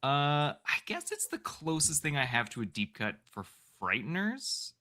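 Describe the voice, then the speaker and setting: Animated, bright-voiced man chatting. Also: a little high, a little slow. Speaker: co-host of a film podcast. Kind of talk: casual chat.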